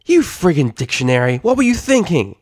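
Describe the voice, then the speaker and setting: A man voicing a brash, excitable young tomboy pegasus filly with cheeky slang in a cartoon story reading. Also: on the high side, a little fast, no echo, clean studio sound, pitched above the performer's own voice. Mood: exasperated.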